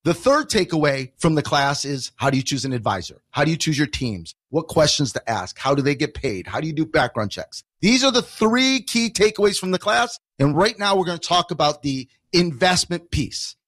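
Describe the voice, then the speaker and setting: High-pitched American man, emphatic explainer. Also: very animated, moderate pace, few pauses, a bit bright, dry recording, studio quality. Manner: emphatic, repeats points with rhetorical questions. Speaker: financial educator and radio show guest. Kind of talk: explanatory radio talk. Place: radio studio.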